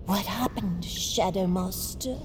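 An actress playing a villain's needling henchwoman in an audio drama, hushed and taunting. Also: mid-range voice, slow pace, noisy background, lightly acted. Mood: concerned.

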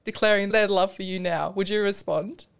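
A woman's voice: medium pitch, steady tone, moderate pace, creaky, smooth. A woman co-hosting a podcast, chatting casually and informally about books, with a giggly manner. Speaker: podcast co-host. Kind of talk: casual book chat.

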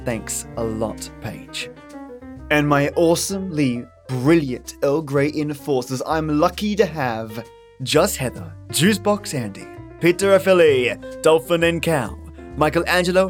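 Chipper Australian podcast host, guitar music behind. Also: male voice, on the high side, expressive, slow pace. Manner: chipper, effusive. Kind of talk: chatty scripted podcast outro.

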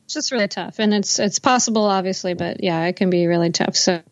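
Relaxed American woman, slightly muffled. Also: mid-range voice, brisk. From United States. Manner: relaxed, laughs easily, some fillers. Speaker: guest musician. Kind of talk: casual interview chat.

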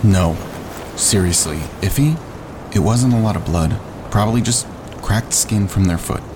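Male expedition member, very low and creaky, level-headed, reassuring, natural audio drama style. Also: a little slow. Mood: firm. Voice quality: gravelly. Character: practical, reassuring man on a wilderness expedition. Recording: noisy rumbling ambience.

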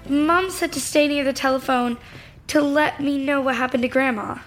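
A woman's voice: high voice, fairly even intonation, moderate pace, soft background music, natural voice. A female performer playing a curious, questioning ten-year-old girl in an audio drama. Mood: worried, questioning.

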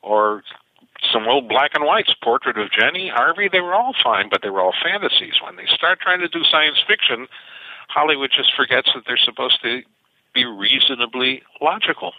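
A man's voice: mid-range voice, expressive, moderate pace, dry recording. An older man, a science fiction author being interviewed, telling stories animatedly with frequent restarts. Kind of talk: interview over the phone. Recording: tinny phone line, dry.

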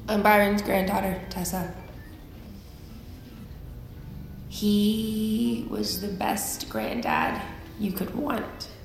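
A woman's voice: mid-range voice, roomy sound. A woman playing a blunt, grieving young army private in an audio drama, with an American accent and an argumentative, fiercely insistent way of talking. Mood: grieving, halting. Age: young adult.

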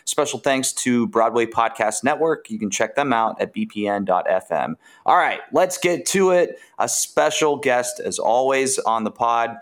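A man hosts a casual interview podcast in an upbeat, easygoing way and often fills pauses with "uh." His voice sits at an ordinary pitch for a man. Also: lively intonation. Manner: upbeat, with frequent "uh" fillers. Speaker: podcast host.

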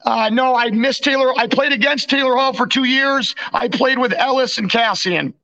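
A man's voice: very high voice, normal speed, pushed and bright. A young man co-hosting a podcast as a former goalie, chatting and telling slangy anecdotes on a dull, lo-fi recording. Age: young adult. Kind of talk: casual chat, anecdotes.

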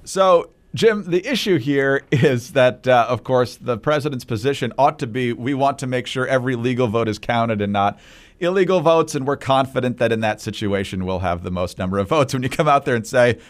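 Measured American man co-hosting political talk. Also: mid-range voice. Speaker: political podcast co-host.

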